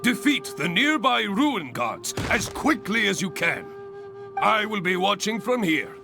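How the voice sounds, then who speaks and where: An actor playing a hearty, grandiose adventurers' guild examiner in a video game, speaking in formal, exuberant flourishes. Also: male voice, high-pitched, big pitch swings, unhurried, creaky, over music, exaggerated voice. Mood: rousing, grand. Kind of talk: English video game voice acting.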